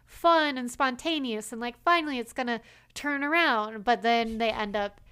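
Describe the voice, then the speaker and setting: A woman's voice: a little high, normal speed, smooth. Chatty woman recapping a show. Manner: chatty, animated recaps with quoted lines and many "like" fillers. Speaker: podcast co-host. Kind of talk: casual chat.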